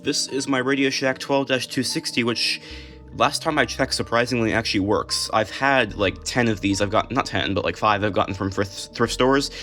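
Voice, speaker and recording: An American man narrates a collection in a casual show-and-tell way. His delivery is unscripted and halting, often trailing off mid-thought. His pitch sits in an ordinary male range, with some music behind him. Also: sharp tone. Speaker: video creator showing a collection.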